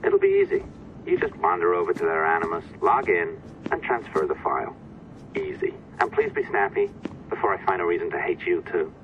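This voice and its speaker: An actor playing a curt, sardonic office IT technician in a video game, giving dry instructions over a communicator. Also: male voice, low voice, brisk, exaggerated voice. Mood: sardonic, impatient. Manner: dry, impatient, deadpan sarcasm. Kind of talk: scripted game dialogue, instructions. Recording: muffled communicator audio with clear noise.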